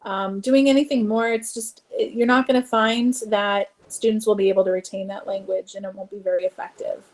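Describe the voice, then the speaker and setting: A woman's voice: medium pitch, fairly even intonation, fairly quick, darkish tone. A woman giving an online teacher training presentation on classroom activities, speaking casually with frequent fillers.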